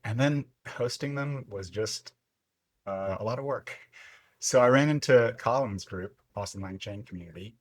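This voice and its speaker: A man in his fifties, originally from Lebanon, telling his story as a podcast guest in a reflective, unhurried way. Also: medium pitch, no echo. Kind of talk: casual interview chat.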